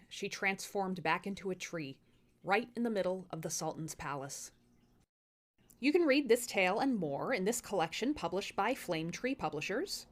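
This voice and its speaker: A woman hosting and narrating folktales in an expressive, theatrical storytelling style made for social video. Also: mid-range voice. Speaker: storyteller and series host.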